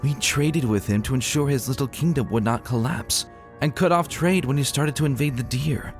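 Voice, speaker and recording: A man voicing a gentle, sorrowful female pony ruler, an older aunt, speaking in a solemn, measured way in a dramatic reading. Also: medium pitch, faint music behind, pitched above the performer's own voice, lightly acted. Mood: gently firm. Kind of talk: dramatic fan fiction reading.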